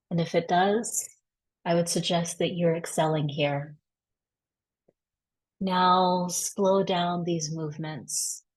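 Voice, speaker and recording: Slow-paced woman guiding a body scan. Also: fairly low pitch, rough recording. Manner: gentle, unhurried, reassuring instruction. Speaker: guided practice facilitator. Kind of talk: guided body scan meditation.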